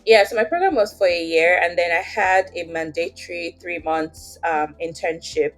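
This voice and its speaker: Low-voiced Nigerian woman, chatty. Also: normal speed, faint music behind, poor audio. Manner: chatty, many fillers like "like". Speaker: guest. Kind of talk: casual interview, personal story.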